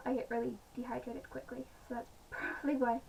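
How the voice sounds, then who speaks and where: A woman with a slightly croaky voice, chatting casually in a home vlog with many pauses and fillers. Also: a little high, warm tone. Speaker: vlogger. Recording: distant mic, faint background noise.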